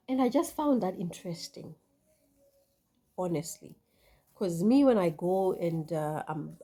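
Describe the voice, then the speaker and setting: A woman's voice: lowish voice, slightly rough. A Zimbabwean woman vlogger speaking reflectively to her viewers, with many fillers and restarts. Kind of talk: casual talk to camera.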